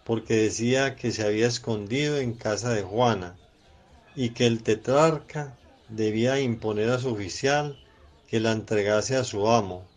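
An actor playing a cautious, disapproving Pharisee in a Spanish radio drama, measured and admonishing. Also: male voice, fairly low pitch, lightly acted. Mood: cautious disapproval. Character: cautious, disapproving male Pharisee elder named Joseph.

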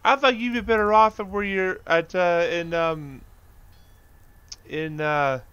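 Bright-voiced man muttering through gameplay. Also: sits high, steady tone, takes it slowly, clear, resonant tone, muffled recording. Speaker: gamer host narrating own play. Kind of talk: casual gameplay commentary.

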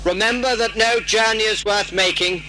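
A man delivers a formal ceremonial address in a high-pitched, sharp, forceful voice. The old recording carries faint noise and hum. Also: fairly even intonation, moderate pace. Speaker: speaker giving a ceremonial address. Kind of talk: formal public speech.

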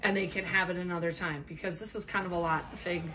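A woman's voice: fairly low pitch, steady tone, quick pace, sharp tone. A middle-aged woman talking informally and at some length in a casual product review, recorded at a table at home. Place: small room at home, indoors. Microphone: camera a short way off across a table.

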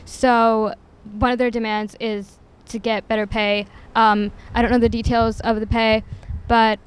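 A woman's voice: on the high side, little pitch movement, measured pace, smooth, poor audio. A young woman asking passersby questions on a city street with a handheld mic, hesitant, with many ums. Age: young adult. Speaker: street interviewer. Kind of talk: street interview. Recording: faint street noise behind.

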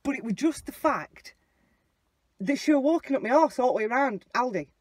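A young woman rants and tells stories inside a small car. She is blunt and sweary, and her pitch jumps all over the place. The phone mic picks her up close and dry, with almost no echo. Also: lively intonation, a little fast, slightly rough. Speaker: vlogger. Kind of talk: casual storytelling. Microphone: phone camera mounted on the dashboard.

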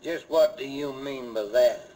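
A man's voice: medium pitch, a little slow, mellow, a little hiss or hum, lightly acted. An actor playing an earnest, defensive railroad foreman in a radio western, with an American accent. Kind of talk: 1950s radio western acting.

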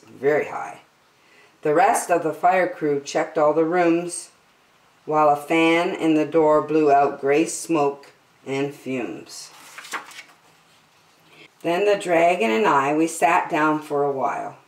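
A middle-aged woman reading a rhyming picture book aloud to children, drawing out and stressing her words in a deliberate storytelling manner. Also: deep voice. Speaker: children's story time host. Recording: roomy indoor echo.

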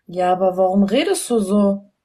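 A woman's voice: medium pitch, normal speed, mellow. A nineteen-year-old woman on a phone livestream, firing blunt, challenging questions. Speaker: livestream participant. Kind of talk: casual livestream banter.

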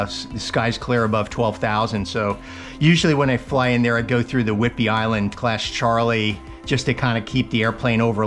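A man chatting over ham radio, relaxed and enthusiastic, with lots of fillers, from a small plane cockpit and his radio room. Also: mid-range voice. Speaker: private pilot and ham radio operator. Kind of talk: casual radio chat.

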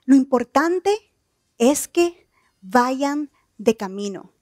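A woman in her thirties from Nicaragua giving an earnest, measured motivational talk on an outdoor evening stage. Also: high-pitched, lively intonation, a little mellow, no echo, clean studio sound. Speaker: keynote speaker.

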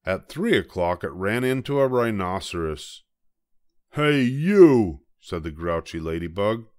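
A man's voice: low-pitched, normal speed, clear, resonant tone. An older man narrating a children's storybook from the text, as a read-aloud. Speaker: storybook reader.

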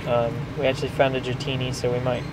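A young man chats casually about the game he is playing, pausing often with ums and restarting his sentences. His voice sits in a flat, middle register, and steady traffic noise hums behind him outdoors. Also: mid-range voice. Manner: relaxed, many ums and restarts. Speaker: gaming vlogger. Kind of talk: casual gameplay narration. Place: outdoors near a restaurant and park.

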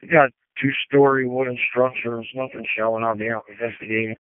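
A man's voice: medium pitch, fairly even intonation, fairly quick, slight background noise. A man, a fire officer on scene, giving matter-of-fact reports over two-way radio. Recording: tinny, dry radio transmission.

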